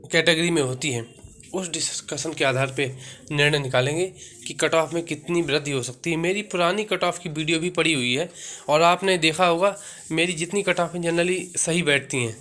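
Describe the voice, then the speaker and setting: A man talks through figures like a coaching teacher. His voice sits a little high and has a bright, forceful edge. He explains informally and keeps checking that listeners are following. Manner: didactic, checks in with "theek hai". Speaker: exam-coaching video host.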